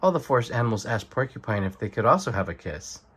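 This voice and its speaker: Male narrator for a children's picture book: mid-range voice, very lively intonation, bedtime read-aloud. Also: mid-range voice, fast, breathy edge. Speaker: storybook reader. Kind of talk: expressive bedtime story narration.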